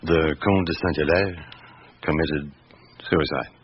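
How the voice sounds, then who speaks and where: A brooding, weary senior detective with an extremely deep voice. He is blunt and probing, narrating and questioning as in classic radio drama. The sound is dull, with faint noise behind it. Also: fairly even intonation, slow. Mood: measured. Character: patient, brooding, weary senior police inspector. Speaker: detective and first-person narrator. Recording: muffled old radio recording, faint noise.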